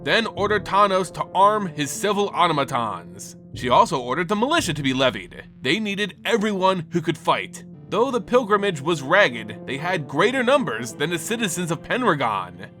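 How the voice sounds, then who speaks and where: A man's voice: high-pitched, very animated, normal speed, hardly any pauses, sharp tone, soft background music. A man giving a solemn, theatrical dramatic reading of fantasy lore as a storyteller. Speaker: narrator.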